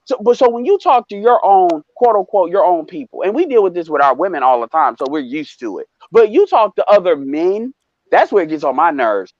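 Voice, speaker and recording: High-voiced heated man on a muffled line. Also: fairly quick, dry recording. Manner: animated, emphatic, swearing, restarts. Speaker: live stream panelist. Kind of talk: heated casual discussion.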